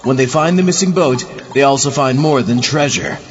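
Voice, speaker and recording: A man's voice: mid-range voice, normal speed, soft background music. A man hosting a horror radio show, reading a scripted spooky introduction to a tale. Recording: muffled, with clear background noise.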